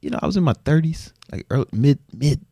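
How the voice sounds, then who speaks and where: A man's voice: mid-range voice, animated, fast talker, gravelly, mellow, husky, dry recording, clean studio sound. A man in his forties, a fitness trainer guesting on a podcast, talking in a laid-back, slangy way and laughing often. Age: 40s. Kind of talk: casual interview.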